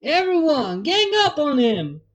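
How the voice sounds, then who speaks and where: A woman's voice: high-pitched, big pitch swings, a little slow, lo-fi sound, voice pushed up. A woman voicing a plucky, blunt country pony mare with a folksy, bossy way of talking. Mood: urgent, bossy.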